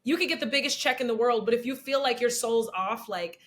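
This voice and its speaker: A young woman with a slightly raspy, fairly high voice talks openly in an interview. She is animated and breaks into laughter often. She acts out little quoted bits as she goes. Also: brisk. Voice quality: slightly raspy, low-set voice. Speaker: actress and comedian, interview guest. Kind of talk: candid interview conversation.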